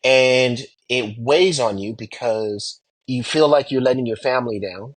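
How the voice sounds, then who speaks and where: Husky American man telling personal stories. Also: mid-range voice, a little slow, rough recording. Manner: emphatic, repeats phrases and poses rhetorical questions. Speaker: military veteran and pilot sharing experiences. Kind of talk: anecdotal storytelling about personal experience.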